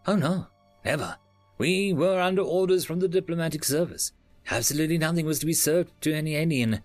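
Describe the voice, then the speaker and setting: A man's voice: on the high side, a little fast, no room sound, faint music behind, voice pushed up, close to an everyday voice. A man voicing a talkative, nervous male head chef in his late fifties in an audiobook. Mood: nervously emphatic. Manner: emphatic, chatty, hesitant. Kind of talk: audiobook character dialogue.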